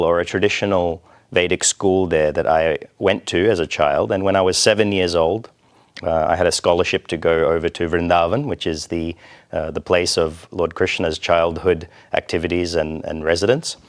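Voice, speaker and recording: A man from Australia speaking as an interview guest, explaining things in a measured, deliberate way. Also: low-pitched, fairly even intonation. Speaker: temple president, interview guest. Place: TV studio.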